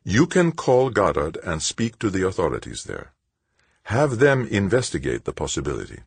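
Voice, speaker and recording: A man's voice: sits low, huge pitch swings, moderate pace, vocal fry, husky, no echo, pitched above the performer's own voice, full character voice. A man voicing a firm, controlling woman, a bishop's assistant, who speaks formally and with authority in an audiobook.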